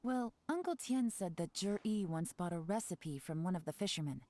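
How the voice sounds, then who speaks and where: Woman acting a low-voiced, shrewd female spy. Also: lively intonation, a little mellow, natural voice. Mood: cool, calculating. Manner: measured, analytical, cool. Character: composed, shrewd female intelligence agent in a fantasy game. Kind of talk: scripted game dialogue.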